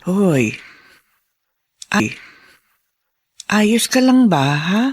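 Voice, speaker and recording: A male actor playing a concerned, inquisitive friend in a Filipino radio drama, with expressive, drawn-out questions. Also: sits high, exaggerated voice.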